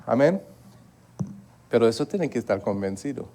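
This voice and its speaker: Gravelly preacher. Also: male voice, mid-range voice, animated, moderate pace, warm tone. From Haiti. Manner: earnest, repeats words, asks the congregation to agree. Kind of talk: Bible study sermon.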